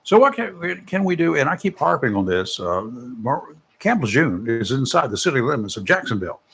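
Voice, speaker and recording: Male talk-radio host, conversational and wry, mid-pitched voice, studio interview. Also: sing-song, brisk, vocal fry, a bit bright, husky. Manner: conversational, joking, pointed questions.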